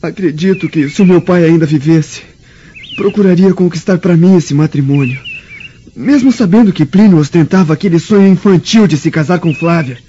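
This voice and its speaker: A man's voice: on the high side, a little fast, dark tone, close to an everyday voice. An actor with a Brazilian accent playing an anguished young Roman nobleman in a radio drama, with solemn, sorrowful, formal delivery. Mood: brooding. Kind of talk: melodramatic radio drama acting. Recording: dull lo-fi radio drama, background noise.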